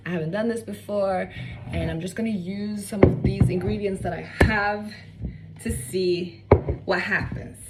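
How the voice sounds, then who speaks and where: A woman's voice: mid-range voice, steady tone, a little slow, over loud music. A young woman from Norway, chatting casually and rambling off on tangents while she cooks in her home kitchen. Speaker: cooking vlogger. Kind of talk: casual cooking vlog chat. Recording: slightly distant mic with faint room noise.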